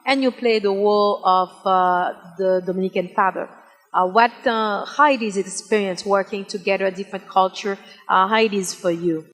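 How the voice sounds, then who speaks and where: A woman hosts an interview show with a Haitian accent. She speaks at a middling pitch in halting phrases, and the sound rings with long hall reverb. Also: fairly even intonation. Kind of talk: broadcast interview. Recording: very echoey hall.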